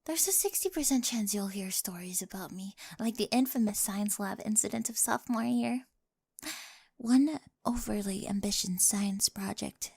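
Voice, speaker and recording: A young woman playing a quirky, awkward, talkative high school girl with a crush in an ASMR roleplay, rambling eagerly and often slipping into breathy whispers. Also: normal speed, a little mellow, no room sound, studio quality. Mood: playful, confiding. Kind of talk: scripted ASMR roleplay, addressed to the listener. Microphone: close, dry studio-style microphone.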